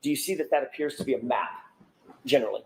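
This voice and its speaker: A man works through formal courtroom questioning as a trial lawyer. He talks briskly, with precise, polite phrasing and leading questions. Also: mid-range voice, low-quality recording. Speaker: defense attorney cross-examining a witness.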